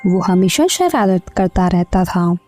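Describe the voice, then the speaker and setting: A woman's voice: on the low side, big pitch swings, slow, dark tone. A woman narrating a scripted children's story as its narrator. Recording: music bed under the voice. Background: background music.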